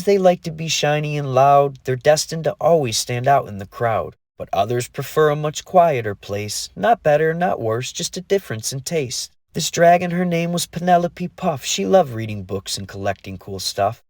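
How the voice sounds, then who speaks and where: A man narrating a rhyming children's story in an expressive, sing-song way. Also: medium pitch, moderate pace, few pauses, dry recording, clean studio sound.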